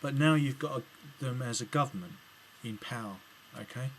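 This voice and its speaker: English male vlogger, mid-pitched, halting delivery with lots of fillers, light background hiss. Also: a bit bright. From England. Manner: hesitant, many ums and restarts. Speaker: video blogger replying to another video. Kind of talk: casual spoken commentary to camera.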